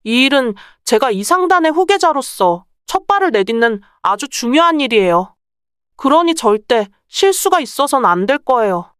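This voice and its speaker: A woman's voice: sits high, big pitch swings, normal speed, fairly bright tone, clean tone, no echo, studio quality. A woman playing a clever, bold young merchant's daughter in a folktale, speaking politely and persuasively. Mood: firm. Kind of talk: acted Korean folktale dialogue.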